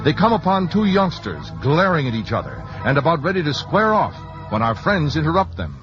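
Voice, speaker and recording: A male narrator of an old radio western. His mid-pitched delivery is highly animated. Music plays behind him on a dull vintage recording. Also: fairly quick.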